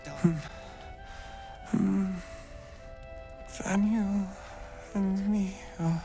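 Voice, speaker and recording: A woman playing a dazed, barely sensible person in a fantasy video game, halting, dreamy and repetitive. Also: medium pitch, fairly even intonation, mellow, soft background music.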